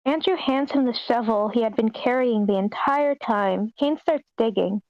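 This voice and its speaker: Woman, higher-pitched voice, script narration read aloud, muffled online meeting sound. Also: normal speed, no echo. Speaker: reader of stage directions at an online table read. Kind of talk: reading screenplay narration aloud.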